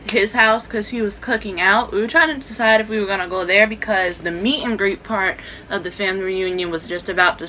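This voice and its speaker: A woman's voice: mid-range voice, pushed and bright. A young woman vlogging to her phone held at arm's length, chatty and upbeat. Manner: chatty, upbeat, talks straight to a handheld phone. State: hoarse, losing her voice. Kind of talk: casual vlog chat to camera. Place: hotel rooms, restaurant and mall, indoors. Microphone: phone camera held at arm's length. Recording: phone video with crowd chatter and room noise.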